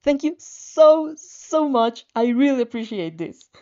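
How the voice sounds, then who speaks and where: A woman's voice: high-pitched, sing-song, a little slow, warm tone, muffled recording, pitched above the performer's own voice. A woman voicing a cheerful, awkward young woman who is eager to please and gushes hesitantly. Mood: gushing. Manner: gushing, hesitant.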